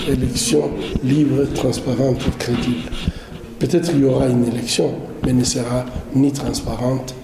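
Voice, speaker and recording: A man giving political commentary in an interview, speaking deliberately and often searching for words. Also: medium pitch. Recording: roomy echo, clearly noisy background.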